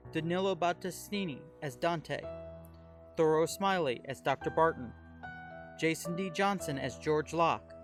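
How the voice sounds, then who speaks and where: Male announcer for scripted credits and promos, fairly high voice, clear music bed underneath. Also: fairly bright tone. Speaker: announcer reading podcast credits. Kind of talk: scripted narration, promotional outro.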